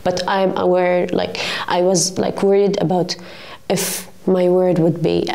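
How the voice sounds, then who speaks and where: Earnest woman, lower voice. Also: flat delivery, a little slow, creaky, warm tone. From Gaza, Palestine. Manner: earnest, frequent "like" fillers. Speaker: student. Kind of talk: interview answers.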